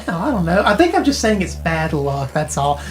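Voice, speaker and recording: A low-pitched female voice performed by an actress plays a tech-savvy woman in a naturalistic audio drama. She talks casually and explains in an informal, hedging way. Faint music sits behind her. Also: very animated, brisk. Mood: sympathetic, hedging. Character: tech-savvy, casual woman who knows retro arcade games. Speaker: character in a mystery audio drama.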